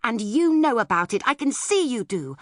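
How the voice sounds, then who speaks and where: An eager young girl from a children's audiobook, acted out by a woman. Her voice is high and swoops with impatience, pressing and pleading to hear more. The sound is close and dry. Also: big pitch swings, normal speed, a bit bright, no echo. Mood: impatient, insistent. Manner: pleading, insistent. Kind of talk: audiobook character voice.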